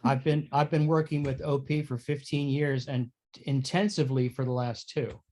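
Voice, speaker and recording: A man's voice: medium pitch, steady tone, moderate pace, a little mellow. A man speaking casually in a panel conversation, as an experienced practitioner and coach.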